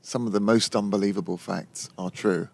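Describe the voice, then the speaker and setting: A man's voice: on the low side, fairly even intonation, normal speed, creaky, darkish tone. A man, a novelist chatting in an interview, digressive and full of restarts. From London. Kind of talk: casual interview conversation.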